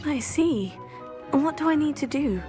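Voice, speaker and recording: Game heroine: teenage, courteous and even-tempered, fairly high voice over soft music. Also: female voice. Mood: polite, curious. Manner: polite, measured, proper. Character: polite, kind-hearted teenage heroine, a composed witch student. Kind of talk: video game character dialogue.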